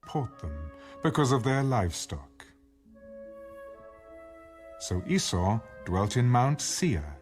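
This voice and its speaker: A man giving a formal, measured scripted narration of scripture, as in an audio Bible. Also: mid-range voice, very animated. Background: background music.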